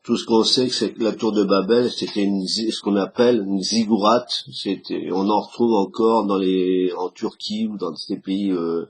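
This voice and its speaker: A hoarse man talks casually in a halting way, with many restarts, while he explains a tarot card reading to his camera. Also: lowish voice, steady tone, low-quality recording. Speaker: tarot reader. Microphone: camera he set up himself.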